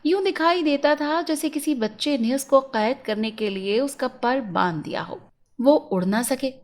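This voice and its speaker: A woman storyteller reading a scripted fairy tale for children as a narrator. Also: sits high.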